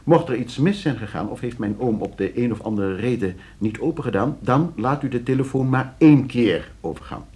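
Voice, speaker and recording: A man's voice: mid-range voice, lively intonation, a little fast, hardly any pauses, a little mellow, close to an everyday voice. An actor playing a polite, scheming professor in a radio play, precise in his speech but prone to fluster. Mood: coolly instructing. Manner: polite, precise when instructing, stammering when questioned. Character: scheming, flustered professor plotting against his uncle for inheritance.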